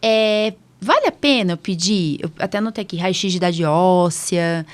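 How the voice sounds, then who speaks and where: A woman's voice: on the low side, moderate pace. A Brazilian woman hosting a podcast in casual conversation, informally summing things up and putting questions to her guest. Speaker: podcast host, allergist doctor.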